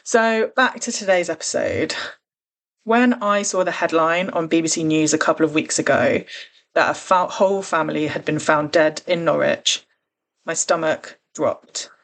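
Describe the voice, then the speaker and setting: A woman's voice: low-pitched, moderate pace, vocal fry, a bit bright. A woman hosting a true crime podcast, talking in an earnest, careful and conversational way. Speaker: podcast host.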